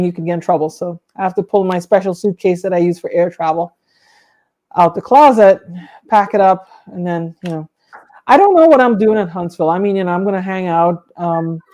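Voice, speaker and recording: A woman's voice: fairly low pitch, mellow. Woman co-hosting a casual livestream. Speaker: livestream co-host. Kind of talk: casual livestream chat about a hobby.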